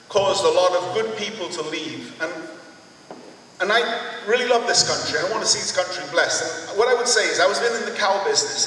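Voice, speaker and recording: A man's voice: high-pitched, sharp tone, slight background noise. A man speaking up from the audience at a town hall, earnest and rambling, with a preachy turn. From Jamaica. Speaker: audience member. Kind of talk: public comment at a town hall. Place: large sports hall. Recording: echoey hall, heard from far off.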